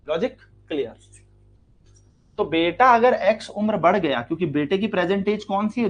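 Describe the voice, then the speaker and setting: An Indian man with a fairly high voice teaches a maths lesson for exam students. He works through each step, poses questions to the class and answers them himself, repeating key lines and drawing words out for stress. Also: poor audio.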